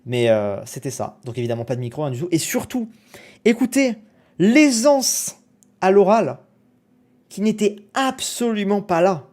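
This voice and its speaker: A young man reacting to his own old video on a live stream, animated, exclamatory and self-mocking. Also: high voice, unhurried.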